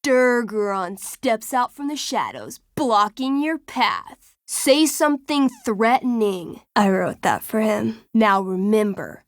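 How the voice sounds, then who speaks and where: High-voiced, unhurried nerdy teenage girl. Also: animated, no echo, clean studio sound. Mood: playful, theatrical. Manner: casual, playful, dramatic when narrating. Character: friendly nerdy teenage girl, enthusiastic tabletop game master. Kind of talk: naturalistic video game voice acting.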